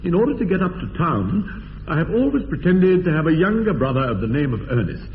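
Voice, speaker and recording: A stuffy young English gentleman sounds out through a narrow, tinny radio recording with long reverb. He is upper-class, evasive and easily affronted, his pitch rising and falling sharply over formal phrases. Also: big pitch swings, fairly quick, slight background noise. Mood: pompous. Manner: pompous, indignant, clipped formal phrasing. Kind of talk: radio drama acting of period comedy.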